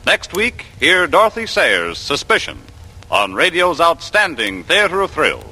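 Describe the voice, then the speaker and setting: A sharp, forceful American male announcer from 1940s radio. His highish voice has a husky edge and swings widely over faint music. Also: huge pitch swings, a little slow, poor audio.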